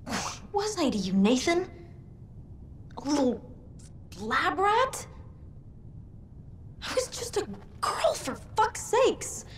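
An actress playing an embittered, defiant young woman in a dramatic video game, questioning in a sharp, confrontational way. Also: very high-pitched, huge pitch swings, slow pace, long pauses, slight background noise, exaggerated voice. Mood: angry, hurt. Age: young adult.

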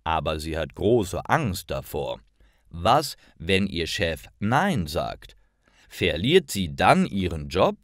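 Slow, expressive male story narrator. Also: sits low, no echo, clean studio sound. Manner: careful, clearly enunciated reading. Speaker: narrator of language-learning stories. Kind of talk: scripted storytelling for learners.

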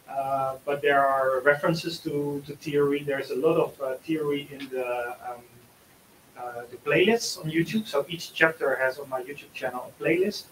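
A man answering questions as an author and teacher in a book discussion, often pausing mid-sentence. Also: medium pitch, a bit bright. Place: room with an audience, on a video call. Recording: roomy, distant mic with faint hiss.

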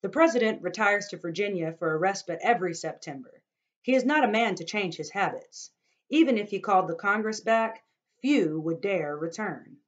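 A woman's voice: medium pitch, lively intonation, normal speed, dull audio, pitched above the performer's own voice, natural voice. A woman reading aloud, giving a fearful older man his lines in a grave, worried, lecturing way. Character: fearful older male townsman.

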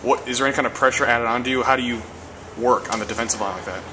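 A man asking a hockey player plain, brisk post-game questions as a sports radio interviewer. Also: medium pitch, steady tone, noisy background.

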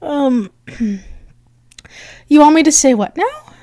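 A woman with a high voice speaks slowly in an intimate ASMR roleplay. She is bashful and halting, with soft affection in her delivery. The sound is close and dry, with no room around her. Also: sing-song, dry recording. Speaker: audio roleplay performer.

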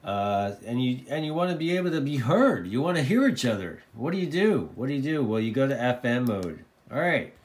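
A man's voice: medium pitch, very animated, fairly quick, few pauses. A man informally explaining and demonstrating a product, repeating phrases for emphasis. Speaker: presenter of a radio tutorial. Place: indoors at a desk.

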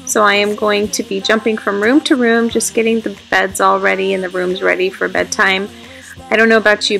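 Chatty woman vlogger with a gravelly edge. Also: medium pitch, a bit bright, poor audio. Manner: chatty and upbeat, addresses viewers directly. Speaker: home vlogger. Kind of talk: casual vlog narration. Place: family home, bedrooms and kitchen.

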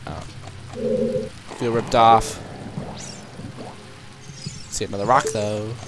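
A man with a cold giving animated, casual commentary while playing a video game at home, with game music behind him. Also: medium pitch. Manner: animated, exclamatory reactions. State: has a cold. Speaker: Let's Play gamer.

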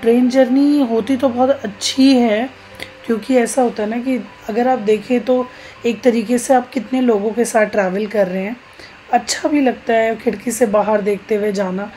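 A woman's voice: fairly high pitch, fairly even intonation, moderate pace, mellow, faint music behind, low-quality recording. A woman in her thirties from Uttarakhand, India, narrating a casual vlog in a chatty way, talking directly to viewers. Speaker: travel vlogger.

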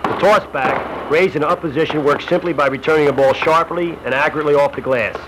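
A man's voice: fairly high pitch, fast talker. A man reading scripted narration for an instructional basketball film, as a shooting instructor. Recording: noisy old Super 8 film soundtrack.

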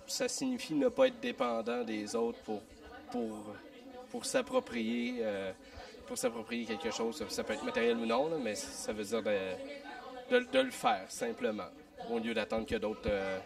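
A man with a very gravelly voice answers questions with halting restarts. His pitch swings widely. He sits back from the mic, with crowd chatter behind him. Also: wildly swooping pitch, recorded from a distance. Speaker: vox pop participant. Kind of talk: casual street interview. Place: busy community workshop space indoors. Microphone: handheld mic.

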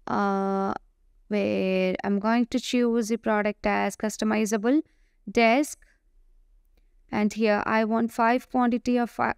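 Female software demo presenter, smooth and clear, improvised halting walkthrough, dry close recording. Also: a little high, no room sound.

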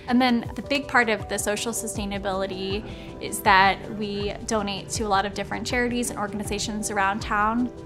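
A woman small business owner speaking in a conversational, earnest way, as in a documentary interview voice-over. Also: on the high side. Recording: music bed behind the voice. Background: background music.

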